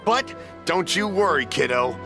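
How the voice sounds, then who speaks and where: Lively, folksy festival stallholder. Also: male voice, fairly high pitch. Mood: apologetic. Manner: folksy, chuckling, drawn-out. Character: jovial, generous male festival mask vendor. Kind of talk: video game voice acting. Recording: music under the voice.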